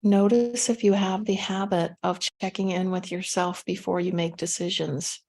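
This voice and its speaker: A woman leading a guided meditation, giving gentle, unhurried instructions with pauses between phrases. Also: on the low side, flat delivery.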